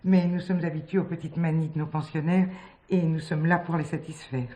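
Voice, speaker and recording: A woman's voice: sits low, little pitch movement, a little fast, mellow, lightly acted. A woman playing a discreet, polite manageress of a retirement home with a formal manner in a French play. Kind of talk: French radio play acting.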